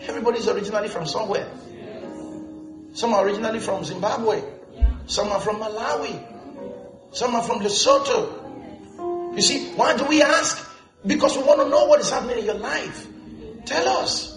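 High-voiced male pastor preaching. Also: normal speed, long pauses. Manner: preaching with asides and laughter. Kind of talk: lively sermon. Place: church. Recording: echoey church with music.

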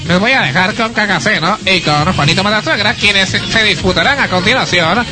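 A man's voice: high-pitched, brisk. A man hosting a comedy radio show, announcing segments in a formal way. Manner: announcing, formal address to listeners. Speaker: radio host. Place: radio studio.

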